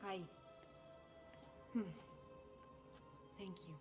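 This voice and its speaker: A woman playing a proud, wry, embittered adventurer in a fantasy video game, with a guarded, sardonic manner. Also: extremely slow. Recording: reverberant, music behind.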